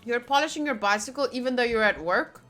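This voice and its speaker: Bright, high-voiced woman game streamer. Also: quick pace, faint music behind. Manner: chatty, breaks off from reading into playful asides. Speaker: game streamer reading a visual novel aloud. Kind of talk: casual playthrough commentary and reading aloud.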